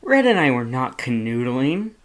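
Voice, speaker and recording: A man voices a tired skeleton big brother who keeps his voice low and mumbled. He dodges the questions and brushes them off. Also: big pitch swings, normal speed, natural voice. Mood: defensive. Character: weary, evasive skeleton older brother.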